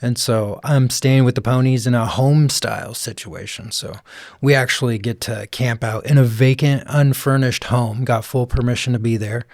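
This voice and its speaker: A man with a quiet voice, telling stories in a relaxed, rambling way as a guest in an interview. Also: medium pitch, moderate pace, dry recording, studio quality. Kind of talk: casual interview conversation.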